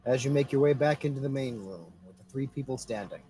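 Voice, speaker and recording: A man's voice: mid-range voice, a little fast, dark tone, slight background noise. A man narrating a tabletop game casually as game master.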